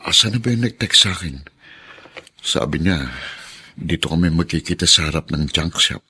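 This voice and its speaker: A rough, husky voice with a sharp edge in a Filipino radio drama. He is a shifty, working-class father and small-time pusher, easily rattled. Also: deep voice, lively intonation, normal speed, exaggerated voice. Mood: wary, sly.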